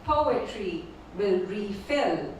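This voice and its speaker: A woman whose first language is Urdu, reading her poems slowly and repeating each first line at a live poetry event. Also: medium pitch, animated, a little mellow, slight background noise. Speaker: featured poet. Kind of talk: poetry reading with spoken introductions. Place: performing arts venue. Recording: echoey hall from far off.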